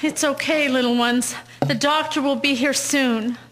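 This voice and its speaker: High, bright-voiced earnest wife, acted by a woman. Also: steady tone, a little slow, a little hiss or hum, poor audio. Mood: anxious, urgent. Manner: earnest, emotional, pleading. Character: anxious, pleading young wife and devoted mother, 1910s. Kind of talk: dramatic historical audio play acting.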